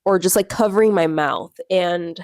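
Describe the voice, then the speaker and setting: A woman's voice: lowish voice, normal speed, a little mellow, no echo, clean studio sound. A young woman with a stuffy nose from a cold, rambling casually in a reading vlog, full of "like" and fillers. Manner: rambling, filler-heavy, lots of "like". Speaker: book vlogger. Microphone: clip-on or small personal microphone.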